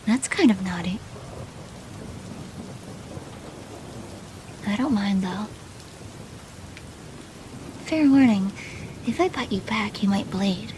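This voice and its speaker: A woman playing a doting, possessive yandere girlfriend in an intimate ASMR roleplay, teasing softly and often dropping to a whisper. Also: medium pitch, lively intonation, measured pace, noisy background, lightly acted. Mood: teasing, menacing. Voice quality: soft, breathy with whispered phrases.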